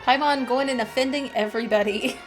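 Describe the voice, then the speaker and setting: A woman's voice: sits high, fairly quick. A woman hosting a gaming channel, giving casual let's-play commentary with chatty, colloquial asides. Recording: game music behind the voice.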